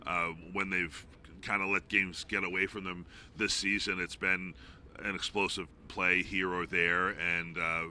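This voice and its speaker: A man's voice: sits low, flat delivery, unhurried, bright, forceful tone, a little hiss or hum. A man who works as a play-by-play announcer, giving informal, discursive sports analysis as an interview guest.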